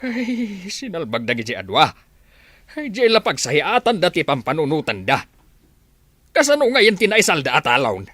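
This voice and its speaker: A man's voice: on the high side, wildly swooping pitch, moderate pace, pushed and bright, dry recording, heavily acted. A man playing an exasperated old village father in an Ilocano radio comedy drama, grumbling and exclaiming with a Filipino accent. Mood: dismayed. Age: older. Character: exasperated old village father fretting over his mortgaged farm.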